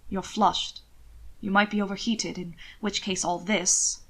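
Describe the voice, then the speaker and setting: A woman voicing an intense, teasing aristocratic husband in a formal, measured way for an audiobook story. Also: medium pitch, steady tone. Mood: smug, teasing. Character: aloof, dignified aristocratic husband, teasing, intense alpha man.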